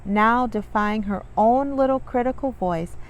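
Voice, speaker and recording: A woman's voice: fairly high pitch, measured pace, low-quality recording. A woman hosting a children's read-along, reading aloud and talking to viewers in an encouraging way. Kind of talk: storybook read-aloud with warm asides to viewers. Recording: music behind the voice. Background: background music.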